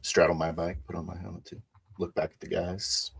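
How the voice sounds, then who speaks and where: A man's voice: low-pitched, level tone, fairly quick, vocal fry, husky. A man improvising first-person narration as a player in a tabletop roleplaying game.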